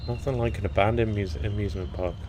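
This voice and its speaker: A man with a croaky voice casually commentating gameplay, rambling and thinking aloud. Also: on the low side, steady tone, quick pace, background noise. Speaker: let's play commentator.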